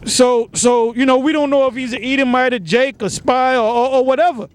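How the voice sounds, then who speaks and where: A man in an outdoor preaching discussion, chiming in with examples and halting restarts. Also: extremely high pitch. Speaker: street preacher.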